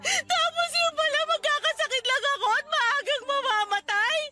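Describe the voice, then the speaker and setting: A woman's voice: extremely high pitch, moderate pace. Diana, a sobbing young woman with leukemia. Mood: anguished. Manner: sobbing, pleading outbursts. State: crying. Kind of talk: radio drama acting. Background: music.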